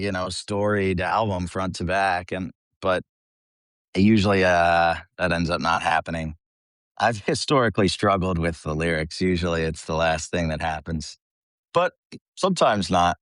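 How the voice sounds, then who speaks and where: A man's voice: low-pitched, a bit bright, no room sound, clean studio sound. A man from the United States talking casually as a band vocalist being interviewed, in an informal, rambling, self-deprecating way.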